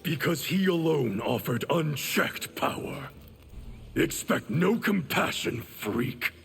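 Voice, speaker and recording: An actor playing a cold, power-hungry ninja villain in fighting-game dialogue, gravelly, measured and scornful. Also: male voice, on the high side, lively intonation, slight background noise, exaggerated voice.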